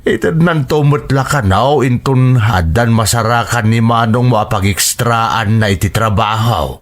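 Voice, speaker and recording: A man with an Ilocano accent playing a husky-voiced working-class father in an audio drama. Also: mid-range voice, a little slow, lightly acted. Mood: pressing, grumbling.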